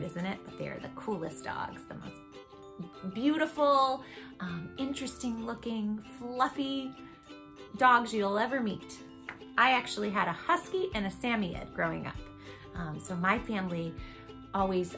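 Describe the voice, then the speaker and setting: A woman's voice: mid-range voice, creaky. A woman hosting a children's read-aloud, speaking affectionately and expressively straight to young listeners with friendly asides. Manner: affectionate, encouraging, addresses young listeners directly; expressive, emphatic delivery. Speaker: host reading children's picture books aloud.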